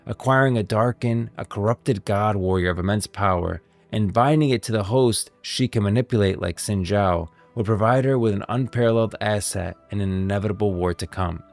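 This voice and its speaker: Man, lore streamer, low pitch, fry in the voice, script reading with ad-libbed commentary, quiet background music. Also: fairly quick, few pauses.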